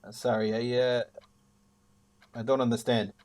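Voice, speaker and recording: A man's voice: mid-range voice, flat delivery, measured pace, a little mellow, dry recording, lightly acted. A man playing a polite, hesitant police officer in a radio play. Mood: measured, hesitant.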